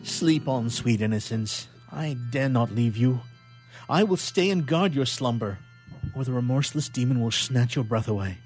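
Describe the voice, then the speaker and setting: A man's voice: mid-range voice, animated, moderate pace, darkish tone, faint music behind, natural voice. A man playing a haunted, guilt-ridden young scientist in an old melodramatic radio play, with declamatory archaic diction. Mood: anxious, tender.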